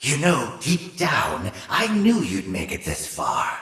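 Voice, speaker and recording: A man playing a cruel, gleeful, condescending older mad scientist in a video game, with a theatrical, sinister voice and mocking, drawn-out articulation. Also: medium pitch, huge pitch swings, a bit bright, roomy sound, in a large hall, exaggerated voice. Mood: pompous, gloating. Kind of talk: video game villain acting.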